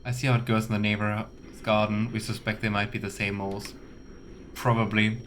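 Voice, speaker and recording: A man's voice: fairly low pitch, level tone, fairly quick, a bit bright, slight background noise. A man giving casual let's play commentary and reading game text aloud, musing and wryly sarcastic. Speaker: game streamer.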